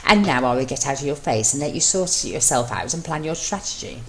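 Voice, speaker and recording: A woman voicing a confident, coaxing husband in a fan fiction reading, steady, gruff and commanding. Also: a bass voice, fairly quick, exaggerated voice. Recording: noisy amateur home recording.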